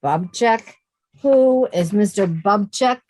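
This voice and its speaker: A woman's voice: mid-range voice, expressive, takes it slowly, warm tone, no echo. A woman playing a sensible, wisecracking young woman with a dry, sardonic delivery in a radio comedy.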